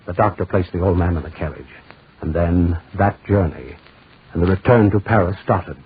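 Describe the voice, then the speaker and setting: An actor playing an ominous storyteller, narrating a gothic 1940s radio horror drama. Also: male voice, low voice, moderate pace, close to an everyday voice. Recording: noisy, tinny 1940s radio recording.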